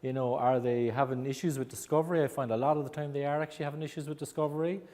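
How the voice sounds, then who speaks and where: A man's voice: medium pitch, fairly even intonation, very fast, a little mellow, long reverb tail. A middle-aged Irish man gives a conversational talk to a small audience as a speaker on agile coaching, with plenty of fillers. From Ireland. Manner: conversational, self-deprecating, many fillers. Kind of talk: conference talk. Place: meeting room in an office.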